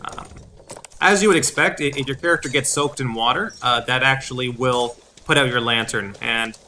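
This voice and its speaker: A man's voice: mid-range voice, normal speed, pushed and bright, a little hiss or hum. A man giving explanatory video game commentary, with hesitant phrasing and repeated words. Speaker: gameplay host. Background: game music.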